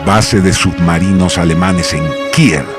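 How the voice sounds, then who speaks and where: Male narrator for radio drama: deep, dramatic scripted read, loud score underneath. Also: measured pace, music in the background.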